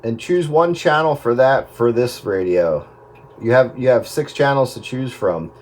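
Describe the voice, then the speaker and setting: A man giving casual technical advice on the radio in a halting way. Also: medium pitch, lively intonation, darkish tone. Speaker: radio hobbyist with recording background. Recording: radio chat with faint static.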